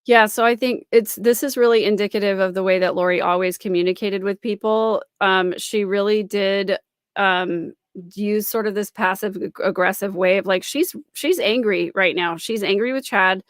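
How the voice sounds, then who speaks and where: Female livestream commentator, mid-pitched, explanatory, repeats points for emphasis, dry close sound. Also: steady tone, moderate pace, no echo. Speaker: host and commentator. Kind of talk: live-stream commentary analysing a recording.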